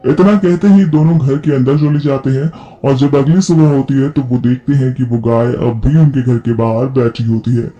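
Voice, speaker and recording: A man's voice: medium pitch, steady tone, moderate pace, hardly any pauses, dark tone, muffled recording, faint music behind. A man narrating a scripted folk story as the narrator.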